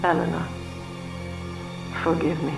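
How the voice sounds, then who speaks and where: A woman playing a cold, cerebral middle-aged villain in a video game, speaking in a measured, formal, solemn way. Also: deep voice, little pitch movement, creaky, heavily acted. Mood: solemn, bitter. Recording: roomy echo, game music behind.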